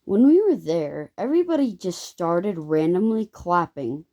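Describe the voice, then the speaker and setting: An eleven-year-old girl telling a casual story to viewers in a halting, rambling way, her voice occasionally squeaky. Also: big pitch swings, warm tone, dull audio. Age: child, eleven. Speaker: first-time video creator.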